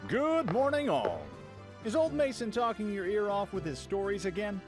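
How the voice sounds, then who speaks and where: A brash, cheerful young male pirate, as an actor plays him in a radio drama. The voice sits fairly high and swings widely in pitch, casual and cocky, with a score behind it. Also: very animated, normal speed. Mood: cheerful teasing. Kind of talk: fan radio-play acting. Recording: music behind the voice.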